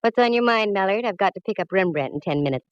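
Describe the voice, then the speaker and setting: A woman's voice: mid-range voice, expressive, fast talker, muffled recording, natural voice. An actress playing a wry, breezy woman private eye in 1940s radio detective style. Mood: brisk, friendly. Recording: vintage 1949 radio recording, music behind.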